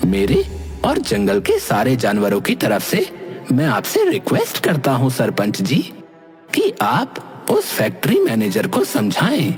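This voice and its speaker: A man's voice: medium pitch, slightly rough, full character voice. A man voicing a friendly, indignant cartoon ghost with an earnest, dramatic, pleading way of talking. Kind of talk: animated cartoon character acting. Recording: music bed under the voice.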